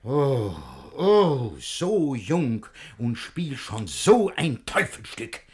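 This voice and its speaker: Jealous older guardian, possessive and lovesick, swooping pitch, slow declamation, radio drama verse. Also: male voice, sing-song, a little mellow, slightly rough, exaggerated voice. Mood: outraged. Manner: theatrical, declamatory, indignant. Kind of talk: classic German radio play verse acting.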